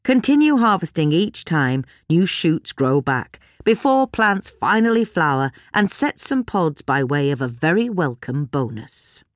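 A woman's voice: sits low, sing-song, unhurried, hardly any pauses, dry recording, studio quality. A woman with a British accent reading a scripted article as a narrator.

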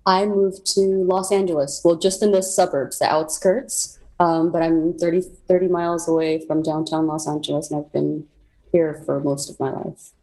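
Low, mellow-voiced young woman guest. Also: little pitch movement, moderate pace, rough recording. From South Korea. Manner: reflective, many ums and soft laughs. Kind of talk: personal storytelling.

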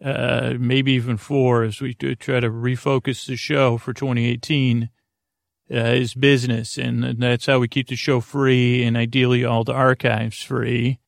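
Man, sleep podcast host, creaky voice, mumbly and rambling, dry close sound. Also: mid-range voice, level tone, no echo. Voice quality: creaky, mumbly, lots of filler words. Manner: slow, meandering, soothing and self-deprecating. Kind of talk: rambling bedtime podcast talk.

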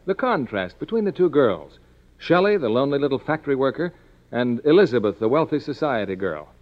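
Animated man announcing on vintage radio. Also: mid-range voice, fairly quick. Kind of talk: scripted 1950s radio advertisement. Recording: muffled 1950s radio broadcast.

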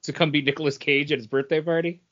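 A man's voice: on the high side, level tone, fast talker, fairly bright tone, lo-fi sound. A man co-hosting a podcast, chatting casually about geek culture and often searching for his words. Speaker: podcast co-host.

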